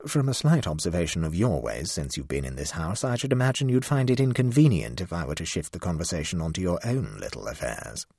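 A man's voice: deep voice, brisk, creaky, few pauses, husky, dry recording, studio quality, exaggerated voice. A man voicing a cold, superior talking cat. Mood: cold disdain. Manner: frigid, indifferent, deadpan.

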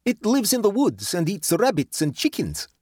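A man voicing a high-spirited nineteen-year-old Russian youth, eager and boastful, halting over his English words. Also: huge pitch swings, darkish tone, slightly rough, heavily acted. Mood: amused, boastful.

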